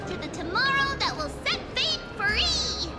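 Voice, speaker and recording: A bold, cheerful small girl from an anime game. Her voice is extremely high and sing-song. Loud music plays behind her. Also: very animated, measured pace, heavily acted.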